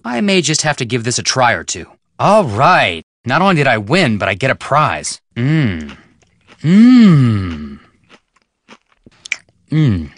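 A man's voice: medium pitch, a bit bright, no room sound, studio quality, exaggerated voice. A man playing an upbeat, curious young American amateur detective in a video game, breezy, teasing and casual. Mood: playful.

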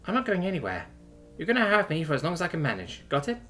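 A man's voice: fairly high pitch, wildly swooping pitch, fast talker, a bit bright, faint music behind, voice pushed up. A man voicing a tough, foul-mouthed woman who is teasing and tender, in an emotional storytelling read. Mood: frank, tender. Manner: blunt, wry, casual swearing.

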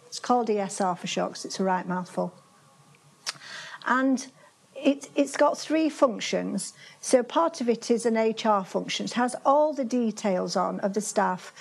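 A woman's voice: a little high, animated, unhurried, creaky. An older woman with a Yorkshire accent giving a chatty conference talk in a seminar room, restarting phrases often. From Yorkshire, England. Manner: chatty, with frequent restarts and fillers. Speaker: conference speaker. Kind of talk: conference presentation. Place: seminar room with projector screen.

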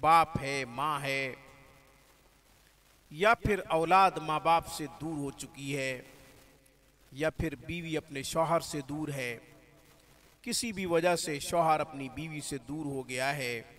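A man with a fairly high voice teaches religious practice in a very slow, unhurried way. His delivery is calm and devout, woven with Arabic and religious phrasing. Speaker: spiritual healer teaching prayers. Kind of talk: religious instruction.

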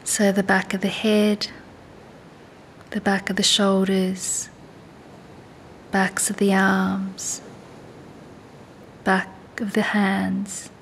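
A woman leads a guided body scan meditation in a calm, soothing, unhurried way, leaving long pauses. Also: gravelly. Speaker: meditation and yoga teacher. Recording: faint low rumbling background noise.

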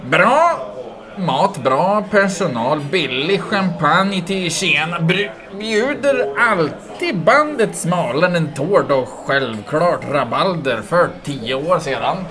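A man's voice: fairly high pitch, big pitch swings, music in the background. A man in his thirties co-hosting a casual podcast in a pub, joking and reading reviews aloud. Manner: jokey, laughing, quick restarts. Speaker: podcast co-host. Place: busy pub. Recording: noisy pub with crowd chatter. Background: crowd chatter.

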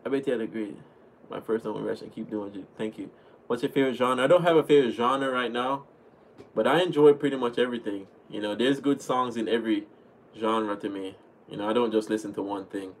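Relaxed Jamaican man on a livestream. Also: medium pitch, brisk, a little hiss or hum, rough recording. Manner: relaxed, chatty, thanks viewers often. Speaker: livestream host reading chat. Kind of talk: casual livestream chat. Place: small home room indoors. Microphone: webcam at desk.

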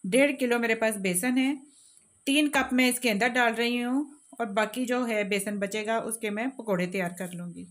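A woman giving calm, step-by-step cooking instructions in a home kitchen, talking directly to her viewers while she cooks. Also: on the high side, normal speed. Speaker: cooking channel host. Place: home kitchen, off camera over a pot.

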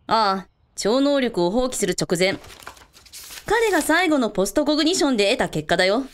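A woman's voice: fairly high pitch, big pitch swings, moderate pace, a little hiss or hum. A woman playing a confident, caring hotel owner in a radio drama, casually authoritative with everyone. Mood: businesslike. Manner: casually authoritative, blunt with staff. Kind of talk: scripted radio drama acting.

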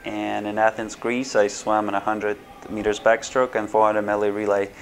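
Man, 30s, from Ukraine; flat, low voice; hesitant interviewee in an office. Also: normal speed, a little hiss or hum. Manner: hesitant, many fillers and restarts. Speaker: swim school owner. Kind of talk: answering interview questions to camera. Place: small office indoors. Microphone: camera framed at chest height, seated.

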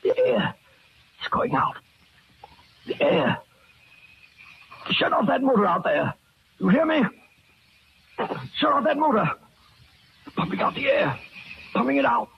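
An actor playing a desperate old villain gasping for air in a 1940s radio melodrama. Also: male voice, very high voice, very animated, a little slow, long pauses, husky, full character voice. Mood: frantic, panicked. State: gasping for breath. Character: trapped, suffocating old villain, frantic and desperate. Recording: dull noisy 1940s radio recording.

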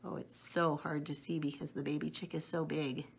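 A middle-aged woman chatting to young children in an animated, simple way in a room at home. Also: low-pitched, normal speed, vocal fry, a little hiss or hum. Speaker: storyteller showing children books and eggs. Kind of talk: casual chat to children.

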